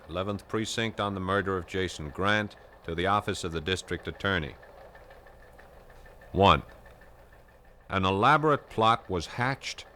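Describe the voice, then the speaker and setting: An actor playing a methodical police lieutenant in a 1970s radio drama, speaking in a crisp, businesslike way. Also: male voice, lowish voice, fairly bright tone, a little hiss or hum. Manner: crisp, methodical report reading.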